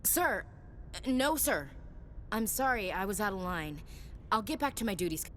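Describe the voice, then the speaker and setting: A woman's voice: medium pitch, very animated, moderate pace, a little hiss or hum, close to an everyday voice. An actress playing an earnest, wary navy chief in a game, plain-spoken and deferential to her superior. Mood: chastened.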